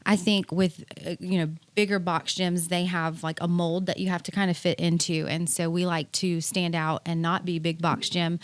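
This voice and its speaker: A woman's voice: lowish voice, little pitch movement, moderate pace, hardly any pauses, no room sound. A woman chatting informally as an interview guest, explaining things as a gym owner in a casual way.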